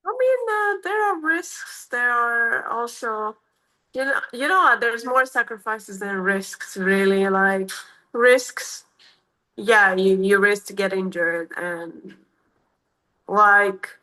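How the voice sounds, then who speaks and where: A woman's voice: mid-range voice, slow, pushed and bright, low-quality recording. A woman in her thirties from Romania with a Romanian accent, answering interview questions in a casual, hesitant way with many fillers and restarts. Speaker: MMA fighter, guest.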